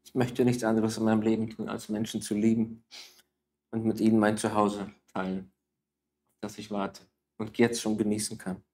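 Low-voiced male host thanking his guest. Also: level tone, normal speed, a little mellow. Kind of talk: casual conversation.

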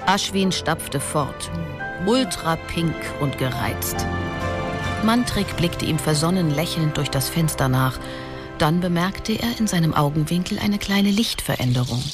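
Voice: mid-range voice, animated, a little slow, close to an everyday voice. A narrator telling a radio play in a scripted storybook style. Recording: loud music behind the voice.

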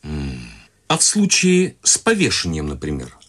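An actor playing a pompous middle-aged psychiatrist who asks measured, probing questions with little hums. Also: male voice, extremely low pitch, huge pitch swings, a bit bright, full character voice. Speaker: radio play actor. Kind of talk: radio play acting.

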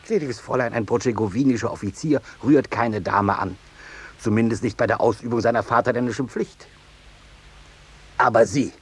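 An actor playing a zealous army captain, stiff, pompous and officious, in a 1950s radio play. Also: male voice, mid-range voice, normal speed, slightly rough, lightly acted. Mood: stern, officious. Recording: noisy 1950s radio drama recording.

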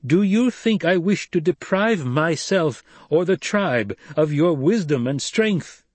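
A man's voice: a little high, lively intonation, no echo, pitched above the performer's own voice. Man acting commanding young desert leader, raised voice. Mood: firm, commanding. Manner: emphatic, deliberate, demanding.

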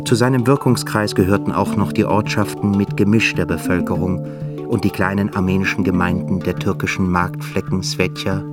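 A male narrator reads scripted literary prose for a radio drama. His voice is low, and loud plucked-string music plays along behind it. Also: steady tone, moderate pace.

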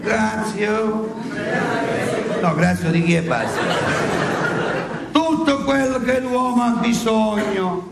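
A comic holy man drawls garbled, repeated phrases extremely slowly, bluntly working his listeners. It is stage satire in a hugely echoing theatre, with a loud crowd around him. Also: high-pitched, vocal fry, full character voice. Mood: mocking. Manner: garbled, chanting repetitions, then mock-translates. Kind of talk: live satirical stage comedy. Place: theatre stage before a live audience. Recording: very echoey theatre, distant mic, loud audience.

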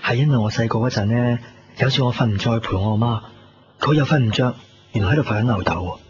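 A man's voice: mid-range voice, quick pace, background noise, lightly acted. An actor playing a reflective, squeamish young man living alone in a radio drama, speaking Cantonese with a Hong Kong accent. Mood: chatty, squeamish. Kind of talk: 1990s radio drama, intimate narration and dialogue. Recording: muffled 1990s radio recording, music behind.

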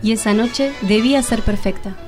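High-voiced woman narrating over music. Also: normal speed, a little mellow. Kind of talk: scripted radio drama narration.

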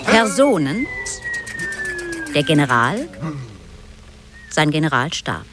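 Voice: mid-range voice, very animated, slow pace. A narrator theatrically reading out titles, character lists and stage directions for a radio play.

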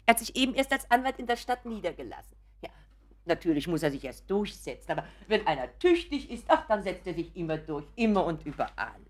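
A woman's voice: lowish voice, sing-song, fast. An actress playing an anxious, doting mother in a German radio drama, fussing and apologetic, often dropping to a whisper. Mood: meek, fussing. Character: anxious, doting, pleading mother of grown son.

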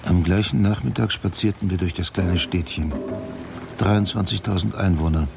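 A grieving widowed father tells his story in a radio drama. His voice is very deep with a gravelly edge, and he speaks in measured, brooding phrases. Music plays prominently underneath him. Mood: somber, reflective. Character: grieving widowed father looking back on a fresh start. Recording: music laid loudly under the voice.

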